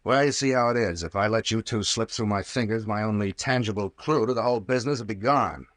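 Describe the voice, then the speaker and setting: A man's voice: fairly low pitch, fast talker, a bit bright, no echo, lightly acted. Man playing a curt, tough radio detective, dry sound. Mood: stern, firm. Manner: curt, commanding, skeptical. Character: tough, stern male private investigator, a captain. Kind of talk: 1940s radio acting. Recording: old-time radio drama recording.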